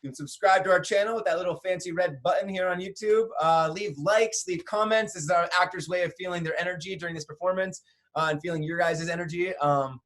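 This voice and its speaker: A man's voice: high-pitched, fast, fairly bright tone. A man hosting an online theatre company, chatty and full of fillers, introducing a virtual play reading from home. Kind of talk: casual introduction. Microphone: home computer mic on a video call.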